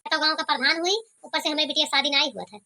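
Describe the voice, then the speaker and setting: A woman's voice: sits high, fast talker, sharp tone. A woman voicing a self-important, scheming village headman and father in a cartoon, with an Awadhi accent. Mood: scheming, bossy. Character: bossy, scheming village headman, older father of two daughters. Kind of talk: cartoon comedy voice acting.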